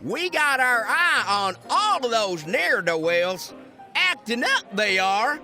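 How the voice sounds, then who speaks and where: A man's voice: very high-pitched, sing-song, slow, sharp tone, faint music behind, full character voice. A man voicing a jumpy, overeager cartoon cowboy deputy with folksy, dramatic Western talk. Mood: excited, eager.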